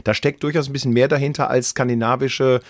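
Gravelly middle-aged radio host. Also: male voice, medium pitch, steady tone, fast talker, no room sound. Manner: formal, courteous questioning. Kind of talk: radio interview.

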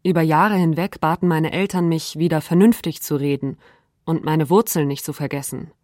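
Calm low-voiced woman reading. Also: moderate pace, no echo, studio quality. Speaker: reader of quotations. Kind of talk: reading quoted text.

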